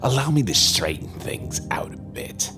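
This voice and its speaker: A man's voice: mid-range voice, big pitch swings, normal speed, in a whisper. An actor playing a menacing, sardonic demon in a possessed man's body in an audio horror drama. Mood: gloating. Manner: sardonic, taunting, theatrical. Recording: music under the voice.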